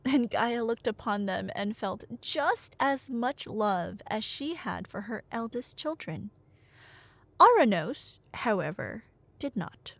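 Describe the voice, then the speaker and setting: Woman playing nerdy gf doing asmr myth storytelling, highish voice, slow delivery, sarcastic asides, super dry close recording. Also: gravelly, no room sound, heavily acted. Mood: dramatic storytelling. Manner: casual, animated, sarcastic asides between dramatic narration. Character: nerdy, teasing young girlfriend eagerly rambling about Greek myths. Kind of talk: ASMR roleplay storytelling to a listener.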